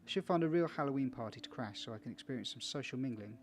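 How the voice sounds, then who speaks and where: A man's voice: mid-range voice, expressive, rapid-fire, slight background noise. A man playing a video game for viewers, casually reading its text aloud with small stumbles and chatty asides. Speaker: video game let's player.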